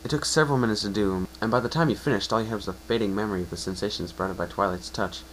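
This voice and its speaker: A man's voice: lowish voice, a bit bright, a little hiss or hum, rough recording. A man narrating a fan story as an amateur reader, often stumbling and correcting himself. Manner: halting, self-correcting delivery.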